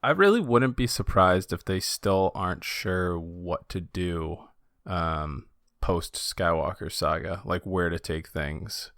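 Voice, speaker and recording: A man's voice: deep voice, animated, takes it slowly, fairly bright tone, no echo, studio quality. A man co-hosting a podcast in a casual, conversational style about fan topics. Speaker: podcast co-host.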